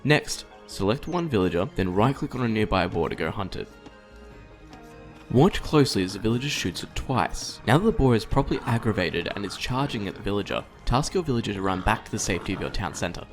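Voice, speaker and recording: A man delivers scripted instructional narration for a game. His voice sits fairly low but moves with animated intonation as he guides the listener step by step. Soft music runs behind him. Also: brisk.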